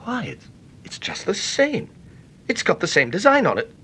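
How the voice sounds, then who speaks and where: Bright-voiced, hesitant British gentleman. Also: huge pitch swings, normal speed, breathy edge, exaggerated voice. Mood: uneasy. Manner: polite, hesitant, apologetic. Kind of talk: British radio mystery drama acting. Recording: noisy old radio recording, low rumble.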